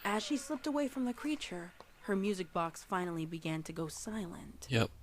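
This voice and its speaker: A woman's voice: fairly low pitch, normal speed. A woman reading fan fiction aloud as a storyteller, with casual reaction asides. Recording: faint background noise.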